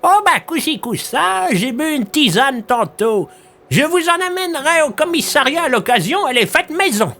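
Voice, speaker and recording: A high, piercing old village woman. She rambles in a folksy, chatty way, her pitch swooping up and down, cheerful and a little senile. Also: big pitch swings, moderate pace, a bit bright, full character voice. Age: older. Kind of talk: audio drama acting.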